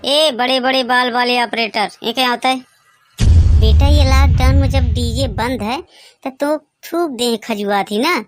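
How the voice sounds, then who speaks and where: Man acting a bossy high-voiced Bagheli cartoon policeman. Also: unhurried, faint music behind. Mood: stern, mocking. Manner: menacing, sarcastic, bossy. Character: bullying, threatening village policeman breaking up a party. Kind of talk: comic cartoon dialogue.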